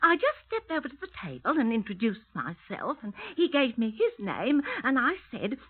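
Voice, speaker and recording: An actress playing a brash, flighty, vain music hall star in a 1950s radio crime drama, with a theatrical, self-important, gushing manner. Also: female voice, a little high, huge pitch swings, normal speed, husky, full character voice. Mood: coy, gushing. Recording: tinny, narrow-band 1950s radio recording.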